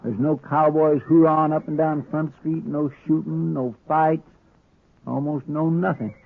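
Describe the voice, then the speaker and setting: An actor playing a mild, deferential deputy with a folksy, rambling Southern US drawl in a 1950s radio western. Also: male voice, a little high, steady tone, a little slow, vocal fry, phone-quality sound. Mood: contented, folksy. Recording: noisy, thin vintage 1950s radio recording.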